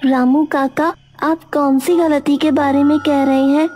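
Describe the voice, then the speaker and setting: A woman playing a curious young villager who questions an elder in an animated horror story. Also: high voice, measured pace. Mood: worried curiosity.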